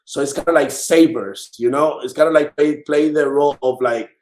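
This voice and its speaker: A man's voice: on the high side, darkish tone. Male artist guest, Dominican Spanish accent, conversational and searching, repeats phrases, echoey room sound. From Dominican Republic. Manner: halting, repeats words and phrases as he searches for them. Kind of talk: casual conversation.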